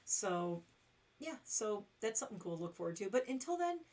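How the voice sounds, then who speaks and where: A middle-aged woman hosting a long casual livestream, hoarse and losing her voice, rambling with lots of fillers. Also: medium pitch, sing-song, normal speed, darkish tone, a little hiss or hum. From Detroit. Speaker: livestream host.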